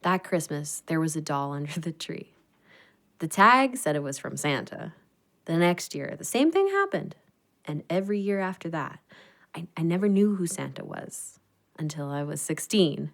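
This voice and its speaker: A woman playing a tender, grieving younger sister in an intimate audio drama, speaking in a gentle, wistful way. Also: low-pitched, very animated, normal speed, full character voice. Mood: tender, nostalgic.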